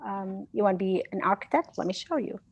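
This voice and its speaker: A woman's voice: medium pitch, animated, brisk, creaky, slightly rough. A woman speaking as a guest science educator in an online seminar, earnest and conversational, with frequent restarts.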